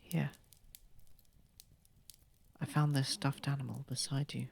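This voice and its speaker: Casting: male actor as a courteous, protective vampire for ASMR drama; whispery, formal, heavy vocal fry, noisy ambient backdrop. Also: medium pitch, level tone, slow. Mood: worried, gentle. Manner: soft-spoken, often whispering, formal wording. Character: posh, cozy, gentle male vampire, protective rescuer. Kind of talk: ASMR roleplay audio drama.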